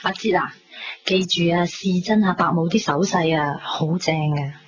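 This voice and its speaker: A woman's voice: on the low side, normal speed, natural voice. Actress as a bubbly young Cantonese woman, muffled sound. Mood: chatty, persuasive. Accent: Hong Kong Cantonese. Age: young adult. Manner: chatty, gushing, playful. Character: bubbly, loyal young woman, teasing best friend. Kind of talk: 1990s Hong Kong radio drama acting. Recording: dull 1990s radio recording, background noise.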